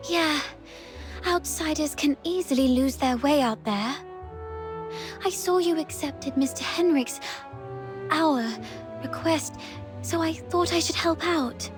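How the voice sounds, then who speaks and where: A woman voicing a gentle, earnest young woman who guides others in a video game, speaking softly and a little hesitantly. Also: sits high, slow, pauses often. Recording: loud game music behind.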